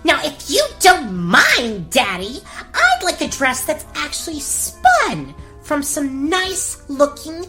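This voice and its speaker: High-pitched, petulant teenage princess. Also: female voice, big pitch swings, slow, few pauses, sharp tone, faint music behind, heavily acted. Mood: whiny, exasperated. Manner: melodramatic, petulant. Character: whiny, spirited young princess, teenage girl. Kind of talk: comic fairy-tale audio drama.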